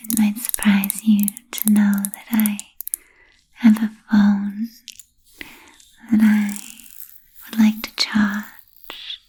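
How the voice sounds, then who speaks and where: A woman playing an ancient, refined, playfully macabre vampire in a whispered ASMR roleplay, speaking softly and formally with trailing pauses. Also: medium pitch, exaggerated voice, low-quality recording. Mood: gentle, wry. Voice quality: soft breathy whisper. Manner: slow, deliberate, archly formal with trailing pauses.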